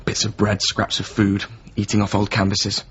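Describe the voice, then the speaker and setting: A man's voice: on the low side, monotone, moderate pace, fairly bright tone, breathy edge. An actor playing a weary, plain-spoken man in a radio drama, talking in terse, matter-of-fact fragments. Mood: sombre recollection. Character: weary, plain-spoken former companion of a doomed painter. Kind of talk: naturalistic radio drama acting. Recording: muffled recording with faint background noise.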